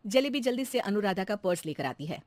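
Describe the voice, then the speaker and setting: A woman narrating a children's cartoon story in a lively storytelling manner. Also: mid-range voice, fairly quick, no room sound, clean studio sound.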